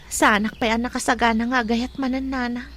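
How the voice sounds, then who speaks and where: A woman's voice: a little high, little pitch movement, fairly quick, fairly bright tone, lightly acted. A woman acting a heartbroken, respectful young single mother in an Ilocano radio drama, with an Ilocano accent and earnest, emotional delivery. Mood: calm, resolved. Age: young adult. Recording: faint noise behind the voice.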